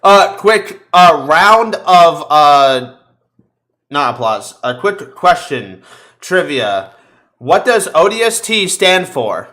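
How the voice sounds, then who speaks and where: A man's voice: fairly high pitch, big pitch swings, takes it slowly, bright, forceful tone. A man hosting a podcast, chatting casually about video games in slangy, sweary bro talk. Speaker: podcast host.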